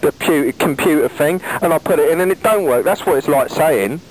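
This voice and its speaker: A British man playing a slow-witted, aggrieved chav dad on a prank phone call, blunt and colloquial. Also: fairly high pitch, natural voice. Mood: exasperated. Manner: bluntly obtuse, colloquial, swearing. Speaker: prank caller.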